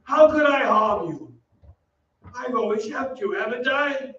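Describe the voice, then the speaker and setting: An actor playing a masked, lovesick, possessive phantom in an old-time radio drama read on stage. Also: male voice, extremely high pitch, lively intonation, measured pace, fairly bright tone, distant mic, full character voice. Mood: menacing tenderness. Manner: cajoling, possessive, theatrical. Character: masked, obsessive, lovesick phantom luring a young singer. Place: theatre stage, away from the mic. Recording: dull, noisy, roomy stage pickup from afar.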